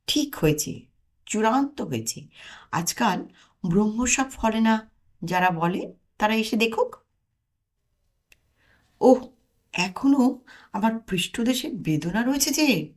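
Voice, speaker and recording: A woman's voice: a little high, expressive, slow pace, long pauses. A woman voicing a pompous, boastful Brahmin pundit with grandiose, learned wording in a comic audio story. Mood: gloating.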